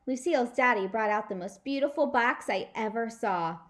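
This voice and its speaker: A woman reading a children's chapter book aloud as a storyteller, with expressive, dramatic delivery. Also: a little high, moderate pace. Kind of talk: animated read-aloud narration for children.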